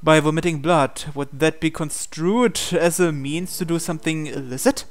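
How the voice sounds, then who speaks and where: A man reading visual novel dialogue aloud in character, with a hesitant, halting delivery. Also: on the high side. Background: game music.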